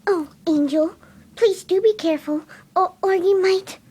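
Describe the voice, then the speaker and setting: A tiny, very high-pitched cartoon pegasus. She is timid and kind-hearted, coaxing gently in a soft, dark-edged mellow tone with no sharpness at all. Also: measured pace, a little hiss or hum. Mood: worried, cautioning.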